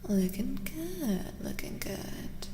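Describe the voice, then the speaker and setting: A woman streaming a soft-spoken ASMR card reading, chatting casually and gently in a breathy voice that often drops to a whisper, repeating words soothingly. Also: sits high, sing-song, takes it slowly, reverberant, in a large hall, noisy background. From Eastern Europe. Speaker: streamer host giving tarot readings.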